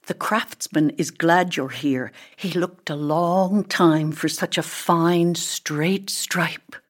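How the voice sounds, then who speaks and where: A woman voicing an exotic, worldly female ebony tree with a breathy, whispery delivery in a storybook. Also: lowish voice, steady tone, slow pace. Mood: knowing. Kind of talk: storybook character voice.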